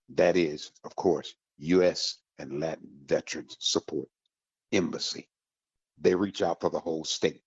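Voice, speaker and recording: Husky deep-voiced guy hosting a radio show, reads out announcements slow and emphatic, kinda dull lo-fi sound. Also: darkish tone, dry recording. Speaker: radio host. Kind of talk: promotional radio talk with announcements.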